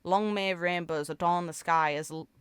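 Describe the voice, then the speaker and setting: Woman reading as a smooth-voiced, wistful romantic game heroine. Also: lowish voice, fairly even intonation, normal speed, no room sound, close to an everyday voice. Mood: solemn, tender. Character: sweet, wistful, romantic young fairy-like heroine.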